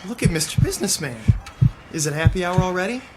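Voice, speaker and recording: An actor playing a mocking, impatient young mugger in an audio drama, with a brash, taunting manner. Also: male voice, sits high, animated, fast talker. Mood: taunting, menacing. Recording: very noisy street scene.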